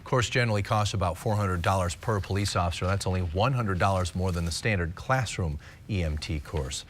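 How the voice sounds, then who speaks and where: A man's voice: deep voice, brisk, a little hiss or hum. An American man reading scripted stories as a television news anchor.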